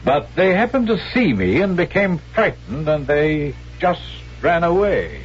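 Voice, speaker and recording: An actor in a radio drama playing a sly, callous swindler who talks in a glib, chatty, falsely genial way. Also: male voice, on the high side, big pitch swings, measured pace, slight background noise. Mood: amused, glib. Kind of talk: 1970s radio drama acting. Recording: dull vintage radio recording, music behind.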